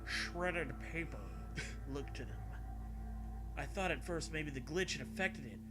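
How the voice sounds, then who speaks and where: A man mockingly reading a story aloud as a host, with profane, sarcastic and excitable joking commentary. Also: fairly high pitch, measured pace. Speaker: host reading internet horror stories aloud. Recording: music behind the voice. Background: music.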